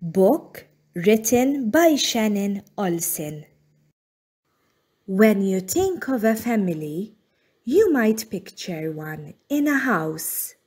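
A woman reading a children's picture book aloud as narrator, in deliberate, measured phrases with frequent pauses. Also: mid-range voice, big pitch swings, darkish tone, slightly rough. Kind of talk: scripted storybook narration for young children.

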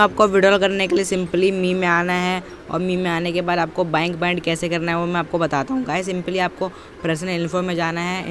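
A young man giving an informal, step-by-step tutorial voice-over with repetitive, instructional phrasing. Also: fairly high pitch. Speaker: tutorial presenter. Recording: noisy, outdoor traffic-like background.

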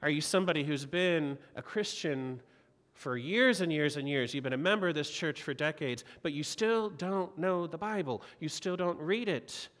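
Middle-aged male pastor, earnest exhorting sermon, slightly high voice, echoing church hall. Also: moderate pace, fairly bright tone. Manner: earnest, exhorting, uses repeated rhetorical questions. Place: large church sanctuary. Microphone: pulpit mic. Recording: long reverb of a church hall.

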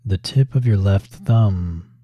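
Very mellow, low-voiced man guiding relaxation. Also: dry recording, lo-fi sound. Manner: calm, measured, with long pauses between short phrases. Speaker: yoga teacher leading guided meditation. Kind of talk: slow guided relaxation instruction.

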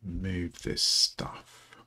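A middle-aged man with a rough morning voice, thinking aloud slowly and haltingly with many ums while he codes at his desk. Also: sharp tone. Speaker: programmer streaming a coding session.

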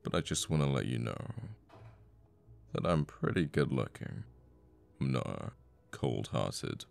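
A man playing a cocky assassin boyfriend in an intimate ASMR audio roleplay, murmuring and sweary, with sighs and pauses. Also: very deep voice, animated, creaky, a little mellow, full character voice. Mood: quiet menace. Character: cocky young male assassin, flippant, menacing, secretly a devoted boyfriend.

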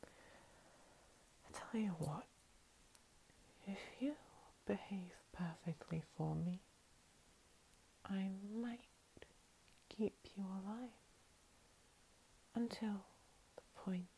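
A woman voicing a playful, menacing vampire cat-girl princess in a soft, breathy ASMR whisper, teasing and condescending. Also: medium pitch, low-quality recording. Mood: playful, possessive. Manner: slow, teasing, condescending, with lingering pauses. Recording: steady hiss.

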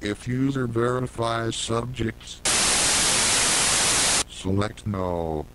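A man voicing a cold, impersonal automated system that reads out formal instructions in an analog horror game. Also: very slow. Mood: cold, detached. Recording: static-laden, rumbling noisy audio.

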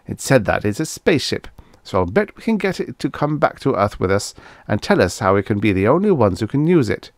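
A man's voice: on the low side, big pitch swings, brisk, dry recording, clean studio sound. Man acting a brash, greedy space explorer. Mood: stubborn, greedy. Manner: brash, forceful, dismissive. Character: greedy, stubborn, impatient male space explorer.

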